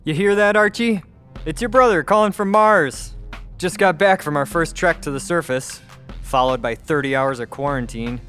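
A man playing a gruff, wry engineer recording a casual, sardonic message home in a science fiction audio drama. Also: fairly high pitch, moderate pace, fairly bright tone, faint music behind, natural voice. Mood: jaunty, grumbling.

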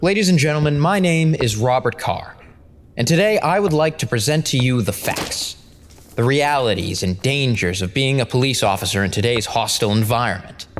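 A man's voice: mid-range voice, animated, moderate pace, slight background noise, lightly acted. A man playing a composed defense attorney in an audio drama, speaking in a formal, persuasive way. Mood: measured, persuasive. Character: composed male defense attorney pleading to a jury. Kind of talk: audio drama acting, formal courtroom speech. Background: music.

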